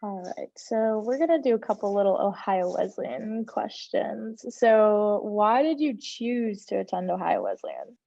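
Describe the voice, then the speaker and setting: A woman's voice: medium pitch, sing-song, measured pace, gravelly, few pauses, warm tone, no echo. A woman moderating a casual question-and-answer session over a video call, putting questions to a guest, with dull audio. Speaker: interviewer and Q&A moderator. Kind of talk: casual moderated interview, reading out audience questions.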